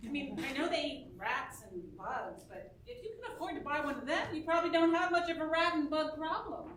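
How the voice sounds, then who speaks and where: A woman's voice: sits high, expressive, a little fast, fairly bright tone. An actress playing a protective, tactful woman assistant to a star in a staged reading. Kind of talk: theatrical acting in a staged musical reading. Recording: distant roomy stage sound, clear noise.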